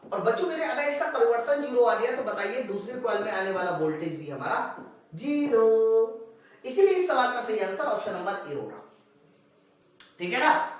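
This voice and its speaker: A man's voice: very high voice, sing-song, normal speed. A man teaching an exam class conversationally, asking questions and answering them himself while addressing students directly. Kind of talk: live classroom-style lesson, working through physics problems. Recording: very echoey room, distant microphone.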